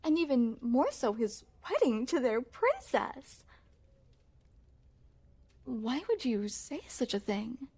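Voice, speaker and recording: Woman acting a soft-toned, unhurried regal young princess. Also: high voice, big pitch swings, slightly rough, heavily acted. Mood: insistent. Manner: formal, courtly, coy phrasing. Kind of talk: intimate ASMR roleplay acting.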